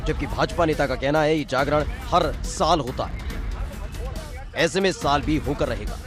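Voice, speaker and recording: A man's voice: on the high side, normal speed. Male news anchor over music.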